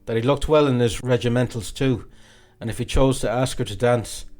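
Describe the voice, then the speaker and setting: A man reading a classic novel as an audiobook narrator, in an expressive, dramatic storytelling way. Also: medium pitch, normal speed.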